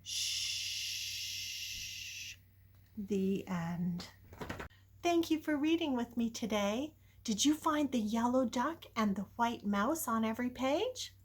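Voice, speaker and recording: A woman's voice: fairly high pitch, expressive, takes it slowly, slightly rough. An older woman doing a playful, dramatic read-aloud of a picture book, putting questions to young listeners. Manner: dramatic, shifting between hushed whispers and loud exclamations. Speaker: children's story reader.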